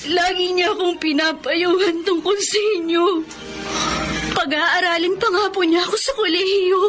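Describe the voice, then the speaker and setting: A woman's voice: extremely high pitch, steady tone, normal speed, loud music behind the voice. A woman playing an earnest, respectful young housemaid and daughter in a Filipino radio drama, with a Filipino accent and an emotional delivery. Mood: tearful remorse.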